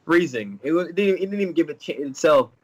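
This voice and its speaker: A man from Florida, a fighter guest, talking on a video call with a stuffy cold and telling animated, joking stories. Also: on the high side, fast talker, dull audio. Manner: animated, joking, laughing storyteller. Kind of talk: casual interview over video call.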